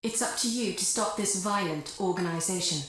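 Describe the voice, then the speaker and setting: An actress playing an earnest, formal mission operator who gives measured military briefings in a video game. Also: female voice, medium pitch, lightly acted. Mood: grave, firm. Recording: very echoey room, loud music behind.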